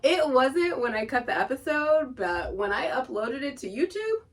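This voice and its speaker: A woman's voice: sits high, very animated, normal speed, distant mic. A woman hosting a podcast in chatty, semi-scripted narration, with a playful, giggly manner and folksy asides. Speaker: podcast host. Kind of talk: chatty semi-scripted narration about old radio shows. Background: background music.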